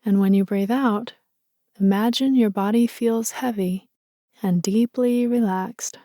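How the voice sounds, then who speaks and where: A woman guides a meditation in a slow, unhurried voice that is mellow and soft. She sounds gentle and soothing, like a motherly guide. The sound is dry and close, with no room around it. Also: medium pitch, fairly even intonation, dry recording.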